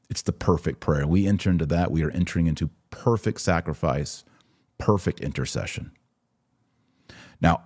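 A man's voice: rumbling low, darkish tone, breathy edge. A man hosting a religious podcast, giving opinionated, emphatic commentary and answering viewer comments, often repeating phrases to drive a point home.

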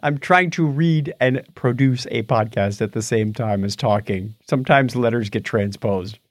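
A man's voice: mid-range voice, moderate pace, no echo, studio quality. A man co-hosting a casual podcast, talking in a jokey, teasing way. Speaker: podcast co-host. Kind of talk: casual podcast chat with patron thank-yous.

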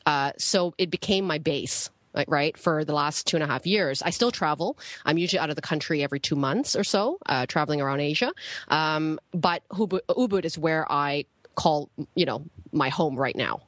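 Low-voiced woman, chatty interview guest. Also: normal speed, dry recording. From born in Malaysia, raised in Canada. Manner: chatty, frequent fillers and restarts, laughs easily. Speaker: podcast guest, entrepreneur. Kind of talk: casual interview conversation. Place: home cottage.

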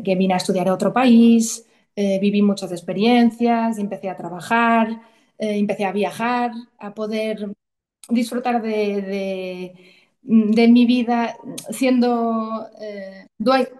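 A woman's voice: fairly high pitch, a little mellow. Chatty woman in her thirties. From Portugal. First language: Portuguese. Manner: chatty, emotional storytelling with many restarts. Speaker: guest. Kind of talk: casual conversational interview.

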